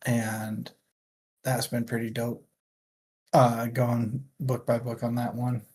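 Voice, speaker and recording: A man with a mid-pitched voice hosts a casual book discussion. He speaks at an unhurried, slow pace and rambles along in a jokey, self-deprecating manner. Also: flat delivery, darkish tone, breathy edge. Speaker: book club podcast host. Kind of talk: casual book chat on a livestream.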